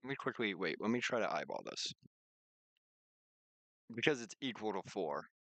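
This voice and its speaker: A man's voice: lowish voice, lively intonation, moderate pace, fairly bright tone, no room sound. A man hosting a game stream with casual, playful chat, often breaking off and restarting his sentences. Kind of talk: casual gaming livestream chat, reading game text aloud.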